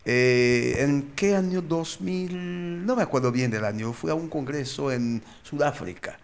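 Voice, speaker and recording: Male pastor for informal group teaching; slightly high voice, repeats key phrases, questions his listeners. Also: unhurried, gravelly, slight background noise. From born and raised outside Mexico, living in Mexico. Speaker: pastor, guest explainer.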